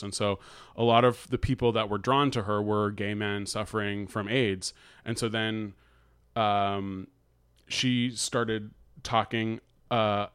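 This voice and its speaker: A man with an American accent talking casually as a podcast co-host, halting and often restarting his sentences as he recounts things. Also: lowish voice, a bit bright, smooth, no room sound, clean studio sound. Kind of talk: casual conversational recounting of an article.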